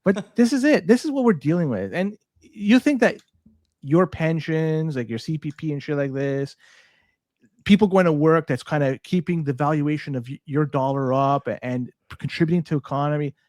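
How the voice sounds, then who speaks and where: A man's voice: fairly high pitch, normal speed, a little mellow, no room sound, studio quality. A man with a Canadian accent co-hosting a podcast in casual, sweary, incredulous rants. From Toronto, Canada. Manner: profane, incredulous, ranting. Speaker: podcast co-host. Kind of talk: casual, unscripted podcast banter.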